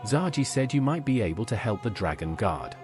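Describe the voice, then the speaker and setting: Male voice as courteous adventurer, very animated pitch. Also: fairly quick, over music. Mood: polite. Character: calm, courteous adventurer recruiting dragon slayers. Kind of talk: scripted video game dialogue.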